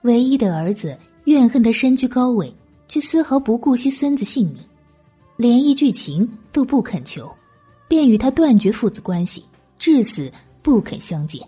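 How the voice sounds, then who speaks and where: A woman narrating a historical novel as an audiobook, in an expressive, literary storytelling manner. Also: a little high, normal speed, husky, soft background music.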